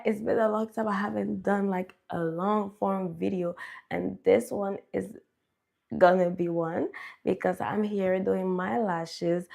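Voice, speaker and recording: A woman's voice: medium pitch, creaky. A young woman from Canada chatting casually on a livestream at home, greeting viewers by name. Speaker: livestream host. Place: home in a cold region.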